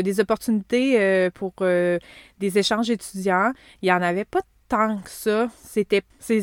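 A woman in her thirties with a Québécois accent talks through her own story as a podcast host. She speaks chatty and loose, with frequent asides, fillers and restarts. Her voice sits at a middle pitch and is recorded close and dry. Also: dry recording. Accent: Québécois French. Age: 30s. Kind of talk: casual personal podcast monologue.

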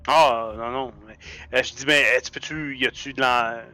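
A man's voice: mid-range voice, fairly even intonation, moderate pace, pushed and bright, faint music behind. A man playing his character in a tabletop game, boastful and playful, often stammering and restarting. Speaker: player.

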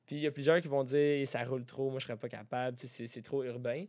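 A man's voice: medium pitch, steady tone, quick pace, darkish tone. Young French Canadian man, slangy and informal. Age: young adult. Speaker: podcast co-host. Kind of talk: casual podcast chat.

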